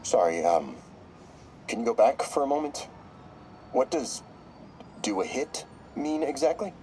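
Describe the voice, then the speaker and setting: An actor playing a shrewd therapist, measured and probing in a video game audio log. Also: male voice, medium pitch, very animated, darkish tone, slight background noise. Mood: uneasy. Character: shrewd male therapist, measured, clinical, quietly calculating.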